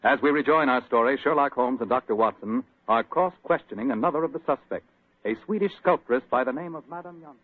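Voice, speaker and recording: A man with an American accent, a radio announcer pitching and narrating in a folksy, conversational 1940s style. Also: fairly quick, no echo. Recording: dry, muffled 1940s radio recording.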